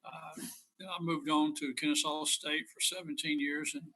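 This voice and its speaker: An older man, a retired coach, speaking in an easygoing, brisk way from a fast-food restaurant. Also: fairly high pitch, steady tone, bright, forceful tone, poor audio. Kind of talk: casual remarks. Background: restaurant noise.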